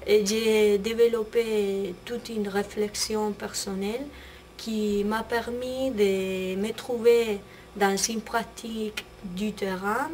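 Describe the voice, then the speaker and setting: A young woman talks about her studies in a measured, unhurried way. Her pitch sits in a middle range. She slows down and hesitates between phrases. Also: steady tone, a little mellow, slight background noise. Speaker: international student. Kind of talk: documentary interview.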